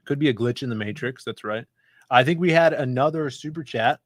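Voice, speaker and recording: A man co-hosting a casual comics livestream, chatty and relaxed, reading out viewer messages. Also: medium pitch, brisk, dry recording, studio quality. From Chicago area. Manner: laid-back, chatty, reads super chats aloud.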